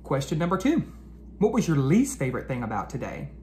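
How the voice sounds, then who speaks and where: A man hosting a children's read-aloud, speaking expressively to young listeners with drawn-out storybook phrasing, as an educator. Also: a little high, wildly swooping pitch, darkish tone. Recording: noticeable background noise.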